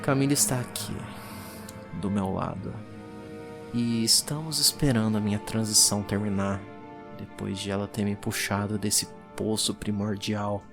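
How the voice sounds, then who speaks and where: A man's voice: fairly low pitch, steady tone, normal speed, gravelly. Man acting a haunted Brazilian expedition diarist, music behind. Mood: weakened, uneasy. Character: weary, haunted 1850s occult expedition diarist. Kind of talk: dramatic reading of a horror journal.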